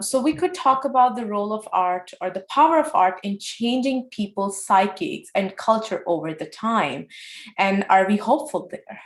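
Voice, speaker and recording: Woman in her 40s, panel moderator, lively, acts out bits of conversation, middle pitch, roomy echo. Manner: lively, acts out dialogue in changing tones. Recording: echoey room sound.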